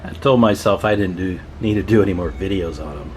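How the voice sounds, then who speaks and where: A man's voice: sits low, fairly quick, darkish tone, a little hiss or hum. A man giving a casual, chatty show-and-tell as a radio repairer and video host, folksy and enthusiastic.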